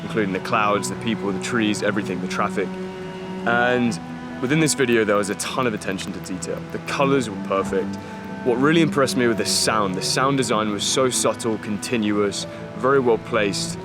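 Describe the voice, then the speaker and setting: A man's voice: lowish voice, moderate pace, loud music behind the voice. A man vlogging casually to camera, enthusiastic and slangy with lots of fillers. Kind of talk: casual vlog talk to camera. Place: outdoors in a city park.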